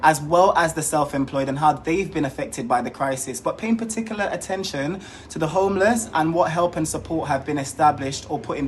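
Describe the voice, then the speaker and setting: A man's voice: on the high side, slight background noise. A man giving a scripted community update as a reporter, upbeat and informative.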